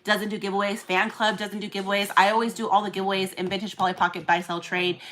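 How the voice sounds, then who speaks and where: A woman's voice: mid-range voice, flat delivery, fast talker, fairly bright tone. A woman with a New Zealand accent chatting casually on a livestream as a seller and collector group admin, rambling with lots of fillers and restarts.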